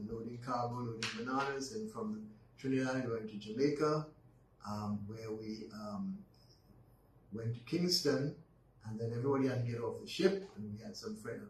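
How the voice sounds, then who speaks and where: A man in his eighties with a Barbadian accent, telling stories of his life as a guest in an unhurried way, often pausing with ums and restarting. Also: medium pitch, a little mellow. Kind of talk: reminiscing storytelling in conversation. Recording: roomy echo, distant mic, faint noise.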